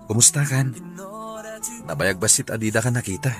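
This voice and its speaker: Actor as deep-voiced earnest young Ilocano suitor. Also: male voice, normal speed, lightly acted. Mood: friendly, shy. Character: earnest lovestruck young man, a driver. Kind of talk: radio drama acting. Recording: radio drama with music underneath.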